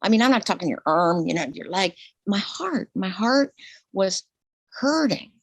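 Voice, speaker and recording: Woman, 60s, retired nurse guest; lively swooping delivery, dry close sound. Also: normal speed, gravelly, husky, no room sound. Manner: animated, laughing, repeats herself, voice leaps high when excited. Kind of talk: casual interview conversation.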